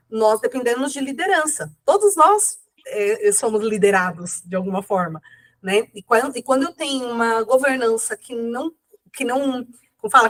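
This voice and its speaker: A woman's voice: a little high, fairly bright tone. A woman with a cold, speaking casually as an interview guest, rambling with many fillers and self-corrections. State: has a cold.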